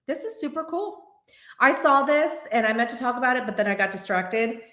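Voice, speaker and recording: A woman's voice: fairly high pitch, brisk. Chatty Korean-American woman in an echoey room. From United States. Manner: chatty, animated, answers viewers. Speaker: host. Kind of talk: casual livestream chat.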